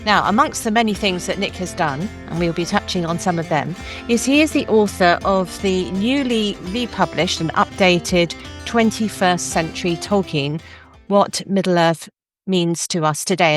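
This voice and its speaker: A woman's voice: on the low side, dry recording, studio quality. A woman hosting a podcast, introducing and chatting with her guest. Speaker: podcast host and interviewer. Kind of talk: podcast introduction and interview chat.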